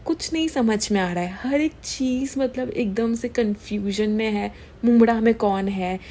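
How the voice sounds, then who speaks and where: A young woman talks through influencer gossip in a relaxed, chatty way. She mixes Hindi and English as she goes, and her voice sounds mellow and warm. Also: fairly high pitch, a little slow. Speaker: gossip commentary host. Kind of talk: casual Hindi-English commentary.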